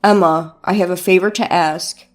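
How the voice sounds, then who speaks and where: A woman's voice: fairly low pitch, fairly even intonation, normal speed, voice pushed down, natural voice. A woman voicing an entitled, oblivious young husband with a nonchalant, matter-of-fact manner in a dramatized story. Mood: innocent, casual.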